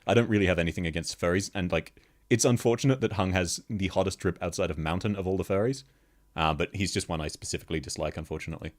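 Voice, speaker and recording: Deep bassy guy streaming, chatty, laughs all the time, mic level jumps around as he moves. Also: fast. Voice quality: very deep bass voice. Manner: laughs constantly, chatty, answers chat questions. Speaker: VTuber streamer. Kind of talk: casual live-stream chat with viewers. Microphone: streaming microphone, level shifts as he moves.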